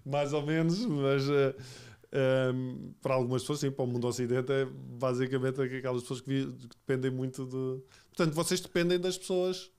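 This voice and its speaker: Podcast host: man in his 40s, European Portuguese from the Lisbon area, mid-pitched, chatty and humorous. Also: fairly even intonation, moderate pace, a little mellow, clear, resonant tone. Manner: informal, jokey, interjecting. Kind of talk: casual humorous interview.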